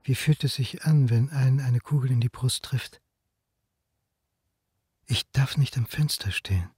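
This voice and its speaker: A man's voice: medium pitch, unhurried, a little mellow, a little hiss or hum, close to an everyday voice. A man acting a brooding, stubborn history lecturer in a naturalistic radio drama, terse and suspicious, with clipped sentences. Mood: bleak. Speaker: actor in radio crime drama.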